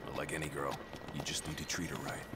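An actor playing a burly, gruff, confident male fighter in a video game. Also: very deep voice, level tone, quick pace, crowd noise. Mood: easygoing. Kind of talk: scripted game dialogue. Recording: game audio under loud music.

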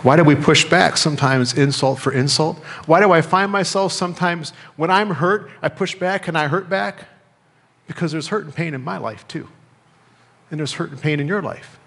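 A middle-aged American man preaching a sermon as a pastor, speaking in measured phrases with pauses and repetition. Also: on the high side, creaky, a bit bright, husky. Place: church sanctuary, indoors at a lectern. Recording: reverberant church hall.

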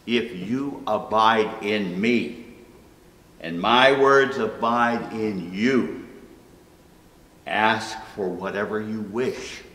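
A man in his seventies reading scripture solemnly, with deliberate, declamatory phrasing. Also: on the low side, pushed and bright. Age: 70s. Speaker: scripture reader. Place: church sanctuary at a wooden pulpit, indoors. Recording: echoey hall with long reverb.

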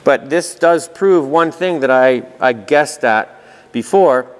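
A man giving an informal technical walkthrough, reading aloud and thinking out loud with dry asides. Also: medium pitch, animated, slow pace. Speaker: host of a technical explainer video. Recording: reverberant room with long echo.

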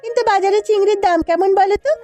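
Village wife for a cartoon female actor: extremely high pitch, earnest, expressive, long-suffering and loyal. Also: racing, soft background music. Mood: earnest, hopeful. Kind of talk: cartoon voice acting.

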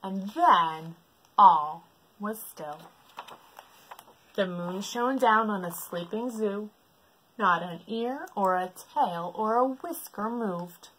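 A woman's voice: medium pitch, takes it slowly, fairly bright tone, low-quality recording. A woman in her thirties reading a children's picture book aloud expressively as a storytime reader. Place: book-lined room at home, indoors.

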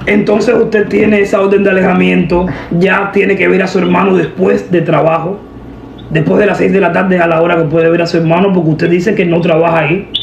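A young woman making a deadpan prank phone call, giving instructions in an official-sounding manner. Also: lowish voice, fairly quick, creaky, roomy sound, noisy background. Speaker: prank caller, host. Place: indoor room at a table. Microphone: camera a short distance away.

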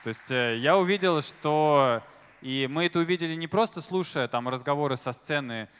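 A man's voice: on the high side, moderate pace, a bit bright, smooth. A man in his thirties giving a live conversational stage talk into a handheld microphone, with lots of fillers and questions to the audience. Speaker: conference speaker. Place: large stage in a hall. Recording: reverberant hall.

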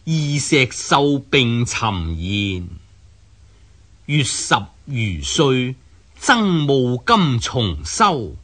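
Male narrator for classical recitation, husky tone, lively intonation. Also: mid-range voice. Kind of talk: slow dramatic recitation of a classical text.

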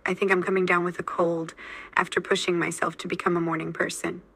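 A woman's voice: lowish voice, little pitch movement, fast, gravelly, fairly bright tone, husky, dry recording. A woman's AI-generated voice repeating model sentences steadily for a language lesson. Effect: AI text-to-speech voice. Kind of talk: scripted sentence drills.

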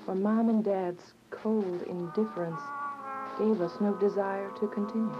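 A woman's voice: mid-range voice, steady tone, unhurried, lightly acted. A young woman playing an earnest, devout teenage daughter who narrates a radio drama. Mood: earnest, troubled. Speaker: narrator and lead character. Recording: muffled radio drama, music behind.

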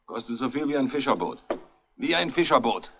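A man's voice: mid-range voice, animated, fairly quick, creaky, a bit bright. An actor playing a sullen, stubborn and simple young village man, indignant and distrustful, in a 1960s radio crime drama. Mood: sullen, impressed.

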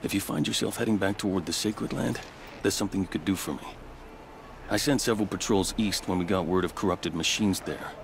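Fort captain in a game, really deep voice, calm n polite, faint outdoor noise. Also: male voice, gravelly. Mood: measured. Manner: measured, polite, matter-of-fact. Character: fort captain, calm, pragmatic, courteous military man. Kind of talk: video game dialogue acting.

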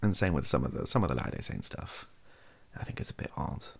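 A young British man chatting softly and casually in an ASMR style, rambling and hesitant, with a gravelly, husky voice. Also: extremely low pitch, lively intonation, fast talker. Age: young adult. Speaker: content creator. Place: small cluttered bedroom, indoors.

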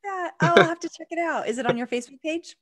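A woman's voice: extremely high pitch, quick pace, fairly bright tone. A woman in her thirties, a professional organizer, chatting animatedly on a video call from a small home office, laughing often and saying "like" a lot. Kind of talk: casual video-call interview. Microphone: desk microphone on a video call.

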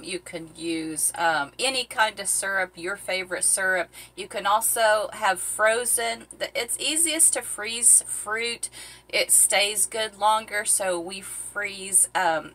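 A woman's voice: mid-range voice, sharp tone. A middle-aged woman chatting casually while she cooks in a small boat cabin, rambling with lots of ums and uhs, filmed by a camera a few feet away. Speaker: vlogger cooking on a boat. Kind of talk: casual how-to chat. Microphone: fixed camera a few feet away.

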